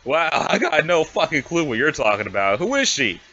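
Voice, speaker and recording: Guy doing a dopey sweary stoner alien bro, rambly, pitch swinging all over, a bit lo-fi. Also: very animated, moderate pace, sharp tone, slight background noise. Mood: friendly, spaced-out. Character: laid-back foul-mouthed male alien slacker, dim and friendly. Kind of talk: amateur comic dramatic reading.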